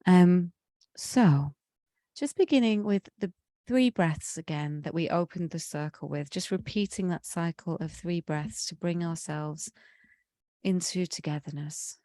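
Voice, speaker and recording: A woman leading a guided meditation in a slow, soothing way, her voice slightly croaky from a cold. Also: lowish voice, mellow, no room sound, studio quality. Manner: slow, soothing, drawn-out phrasing. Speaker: meditation leader.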